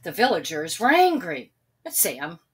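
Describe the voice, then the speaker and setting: A woman reading a children's story aloud expressively, pausing now and then to address young listeners. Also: lowish voice, very animated, slightly rough. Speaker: storybook reader.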